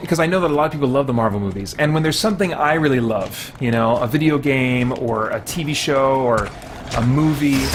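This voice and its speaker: A man's voice: medium pitch, slight background noise. A middle-aged man hosting in casual chat, with a wry, informal, complaining way of talking. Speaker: host. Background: music.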